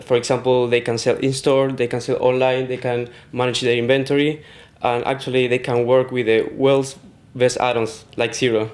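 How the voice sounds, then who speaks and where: A man in his thirties from Argentina with an Argentine Spanish accent, answering interview questions about his work in a steady, measured way into a handheld mic in an office lounge. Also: medium pitch. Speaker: interviewed software engineer. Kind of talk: casual interview. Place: office lounge with wood-panelled wall. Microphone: handheld mic held out by interviewer.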